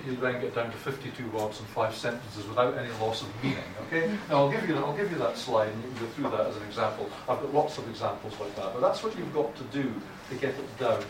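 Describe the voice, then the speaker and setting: Blunt Scottish man lecturing in echoey room. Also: mid-range voice. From Scotland. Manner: blunt, informal, frequent restarts. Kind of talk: academic writing lecture. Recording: echoey room from far off, noisy.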